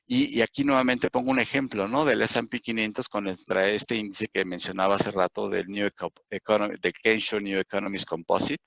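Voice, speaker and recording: Mexican male presenter, low gravelly voice, hesitant with fillers, dry lo-fi webinar audio. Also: fairly even intonation, fairly bright tone, no echo. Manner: halting, many fillers and restarts. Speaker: webinar presenter on investment indices. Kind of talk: live webinar presentation over slides. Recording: dull, lo-fi sound.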